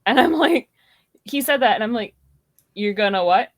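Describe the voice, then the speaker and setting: A woman chatting casually as a podcast guest, telling things in an expressive, conversational way. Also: a little high, moderate pace. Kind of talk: casual chat.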